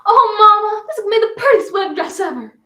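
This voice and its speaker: A flamboyant Southern belle flapper, acted by a woman in a high-pitched voice, holding forth in a dramatic stage monologue. Her Southern carries a wistful, coquettish theatricality. The sound is distant from the microphone, with plenty of room echo. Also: lively intonation, unhurried, fairly bright tone. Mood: girlish delight. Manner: dramatic, swinging from coy reminiscence to defiant outbursts.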